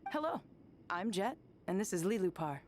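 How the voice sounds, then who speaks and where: A woman's voice: medium pitch, very animated, moderate pace, music in the background, natural voice. A woman playing a guarded, streetwise young desert mercenary in a video game, frank and laid-back. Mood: curious.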